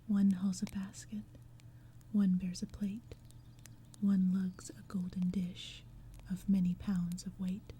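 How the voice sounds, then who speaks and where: A woman's voice: mid-range voice, level tone, takes it slowly, a little hiss or hum, lightly acted. Woman whispering as an earnest young storybook maiden. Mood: hushed wonder. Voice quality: breathy whisper. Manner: hushed, earnest, lilting verse. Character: earnest young maidens in a fairy-tale poem.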